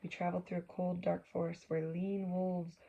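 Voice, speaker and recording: Woman, children's storybook reader, creaky voice, husky and breathy, engaging asides to young listeners. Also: lowish voice, flat delivery, normal speed, dark tone, dull audio.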